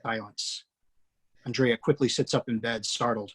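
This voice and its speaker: A man reading screenplay action lines aloud in a steady, matter-of-fact way. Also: medium pitch, normal speed, slightly rough. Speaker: screenplay reader.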